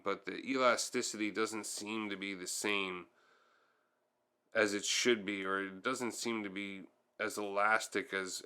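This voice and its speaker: Male tutorial host for hands-on repair narration; lower register, smooth clear tone, informal delivery. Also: pushed and bright. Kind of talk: hands-on how-to narration, casual.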